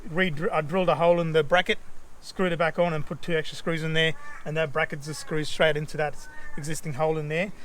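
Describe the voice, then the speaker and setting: Man, Australian accent, fairly high voice, chatty DIY host, informal, faint noise behind him. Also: flat delivery, fast, fairly bright tone. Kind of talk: casual how-to vlog. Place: outdoors beside a vehicle. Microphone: action camera. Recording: clear background noise, outdoor camera audio.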